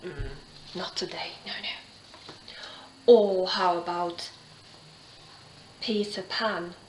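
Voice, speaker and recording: A woman reading and chatting to children like a teacher, in simple, expressive phrases with dramatic pauses. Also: on the low side, reverberant, slight background noise, rough recording. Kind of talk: children's storytelling, gentle chat and narration.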